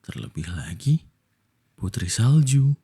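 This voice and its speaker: A young Indonesian man with a soft, breathy voice, telling a bedtime story in an intimate ASMR style, playful and affectionate like a boyfriend. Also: lowish voice, sing-song, takes it slowly.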